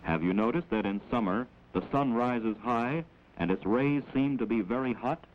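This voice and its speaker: A man with an American accent gives measured, instructive scripted narration in the manner of a 1940s educational film, on an old film soundtrack. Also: mid-range voice. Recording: dull, noisy old 16mm film soundtrack.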